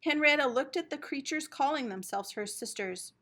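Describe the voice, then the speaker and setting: Bright, animated woman reading a storybook. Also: sits high, moderate pace. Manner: expressive, dramatic storytelling. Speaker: teacher reading aloud. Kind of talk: storybook read-aloud for children.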